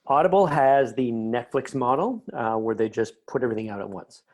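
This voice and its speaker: A man's voice: medium pitch, expressive, brisk, a little mellow. A man talking conversationally as an interview guest, chatty and enthusiastic, telling anecdotes about his creative work.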